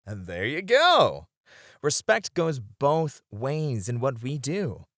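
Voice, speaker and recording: A man playing a flirty, supportive club host in an ASMR roleplay, speaking in a gentle, reassuring way. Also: mid-range voice, big pitch swings, slow pace, dry recording, clean studio sound. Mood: gentle, encouraging. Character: flirty, supportive male club host comforting his friends.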